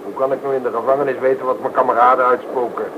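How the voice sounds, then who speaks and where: A man's voice: medium pitch, flat delivery, rapid-fire, noisy background, close to an everyday voice. Radio play prisoner, played by a man: mid-range voice, taunting, self-assured, on a narrow, tinny recording. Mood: cocky, taunting. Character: cocky, taunting male criminal under arrest. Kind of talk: radio drama acting in Dutch.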